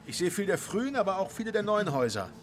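A man's voice: sits high, sing-song, quick pace. An actor playing a charismatic squatters' leader in a radio play, grandiose and declamatory, repeating his phrases as he chairs a meeting. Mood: pleased, solemn. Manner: declamatory, pompous, repeats himself. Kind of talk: German radio play acting.

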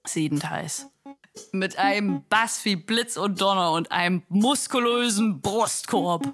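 A woman with a mid-pitched voice narrates a literary radio play. Faint music plays behind her. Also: measured pace, fairly bright tone.

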